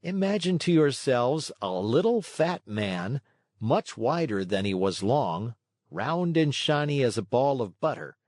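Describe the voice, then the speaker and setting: A man reading a children's story as scripted narration, expressive in his storytelling. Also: mid-range voice, unhurried, no echo, clean studio sound.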